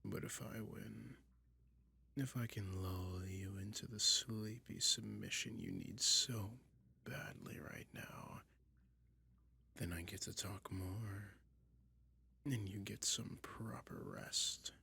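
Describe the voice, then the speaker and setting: A man voicing a soft-spoken, teasing dragon in a hypnotic ASMR roleplay, mostly in a breathy, lulling whisper. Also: lowish voice, very animated, takes it slowly, exaggerated voice. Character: soothing, toying male dragon lurking in a cave.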